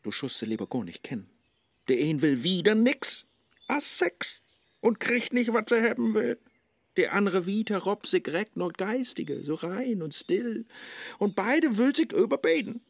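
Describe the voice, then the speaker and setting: An actor playing a brooding, world-weary scholar in a rhymed radio play, declamatory, with a Low German accent. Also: male voice, big pitch swings, a little slow, vocal fry, no room sound, exaggerated voice. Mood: anguished. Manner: declamatory, melancholy.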